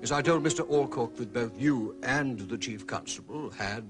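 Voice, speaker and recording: An actor playing a discreet, loyal gentleman's manservant, measured and deferential, in a period detective drama. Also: mid-range voice, natural voice. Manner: measured, deferential, precise wording. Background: prominent music.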